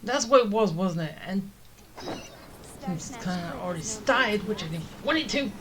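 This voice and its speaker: A game streamer thinks out loud in casual commentary. The voice has a medium pitch and stumbles hesitantly over repeated words. Faint noise and clicks are in the recording. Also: lively intonation, moderate pace. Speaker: gaming streamer, player.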